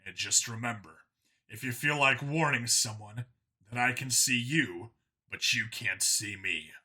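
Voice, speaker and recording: A man's voice: mid-range voice, a little slow, bright, forceful tone, slightly rough, pitched below the performer's own voice, full character voice. A man voicing a tough human undercover cop in a sci-fi audiobook, wry and casually threatening. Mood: menacing.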